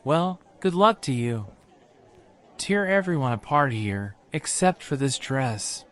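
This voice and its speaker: A man's voice: medium pitch, lively intonation, slow pace, fairly bright tone, voice pushed up. A man voicing Jack, a young boutique worker anxious about a borrowed dress. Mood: worried.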